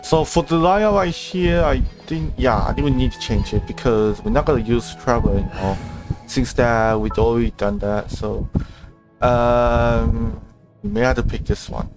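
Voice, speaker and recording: Male livestreamer, mid-pitched, casual halting play commentary over clearly audible game music. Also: animated. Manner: halting, thinking aloud.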